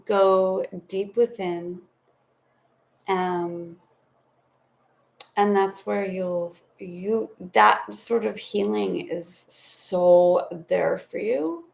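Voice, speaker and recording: Woman tarot reader on a home live stream; slow, unhurried delivery with a gravelly edge; gentle and spiritual. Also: medium pitch, fairly even intonation. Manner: soothing, meandering, spiritual talk with affectionate asides.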